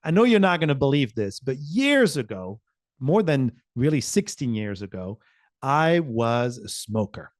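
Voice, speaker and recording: A man from France, a communication professor, telling a short inspiring personal story with earnest, emphatic pauses. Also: mid-range voice, very animated, no room sound, studio quality.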